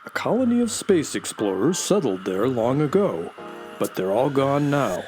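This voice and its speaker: A low, animated voice plays a sensible space horse, voiced by a woman, a loyal sidekick in a kids' radio adventure. It explains things in a measured, gently dramatic way, with music playing behind. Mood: concerned. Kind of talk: children's radio adventure acting.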